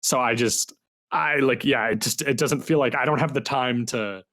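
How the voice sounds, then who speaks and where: A man's voice: mid-range voice, normal speed, fairly bright tone. A man with a mid-range voice speaks as a podcast host. His delivery is informal and conversational, like a loose chat between gamers. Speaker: podcast host and game reviewer. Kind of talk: casual podcast interview chat.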